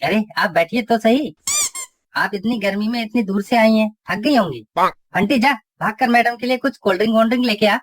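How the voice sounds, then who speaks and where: A woman's voice: fairly high pitch, a little fast, no echo, natural voice. A woman voicing a grumbling, flirtatious husband and father in a cartoon comedy. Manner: bickering at family, smooth-talking.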